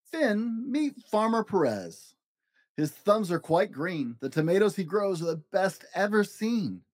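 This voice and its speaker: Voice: medium pitch, sing-song, a little slow, dry recording. A reader's exuberant, eccentric storybook balloon pilot man. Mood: cheerful, brisk. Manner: theatrical, exclamatory, rhyming. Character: exuberant eccentric male balloon pilot, chief soup supervisor.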